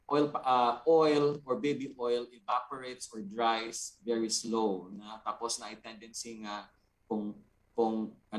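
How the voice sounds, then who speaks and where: Filipino guy w visayan accent, art teacher explaining stuff, mid voice, kinda halting, code switches. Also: medium pitch, poor audio. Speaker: guest art teacher, painter.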